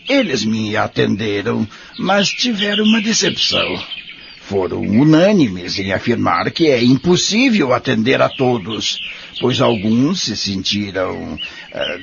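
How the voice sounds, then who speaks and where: A man's voice: mid-range voice, gravelly. A man acting a friendly, earnest care-home companion in a radio drama, with a Brazilian accent. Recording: muffled, noisy lo-fi recording.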